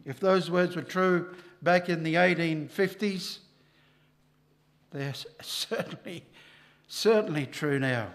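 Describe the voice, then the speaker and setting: An older man preaching a sermon at a church lectern microphone, emphatic and rising loud on key lines. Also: on the high side, slow, long pauses.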